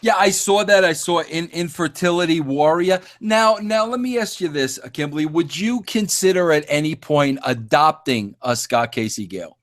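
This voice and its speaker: A man's voice: fairly high pitch, moderate pace, hardly any pauses. A man hosting a podcast interview, teasing his guest and often repeating words and restarting sentences. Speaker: podcast host. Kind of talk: casual interview chat.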